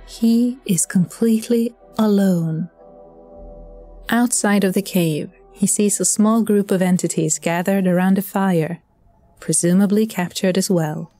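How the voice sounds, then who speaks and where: A woman's voice: medium pitch, mellow. A woman giving scripted storytelling narration for an audio drama.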